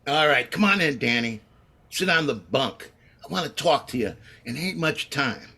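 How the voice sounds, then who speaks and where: An actor playing a scheming, vengeful condemned gangster with tough slangy talk, in the manner of a 1930s radio crime drama. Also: male voice, mid-range voice, expressive, moderate pace, creaky, pushed and bright, breathy edge, full character voice. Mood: gruff, urgent.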